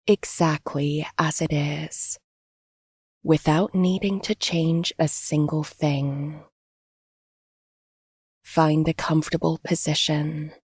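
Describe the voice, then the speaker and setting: A woman gently guiding a body scan meditation in an unhurried, scripted, instructional way. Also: deep voice, husky, no room sound, clean studio sound. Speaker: meditation guide.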